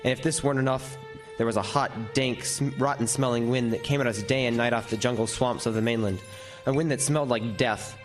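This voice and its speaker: A man's voice: mid-range voice, steady tone, faint music behind, natural voice. A man playing a lighthouse keeper who tells his tale as dramatic first-person narration in old-time radio horror style. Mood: brooding, vivid. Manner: vivid, deliberate storytelling with lingering descriptions. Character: lighthouse keeper recounting a frightful night.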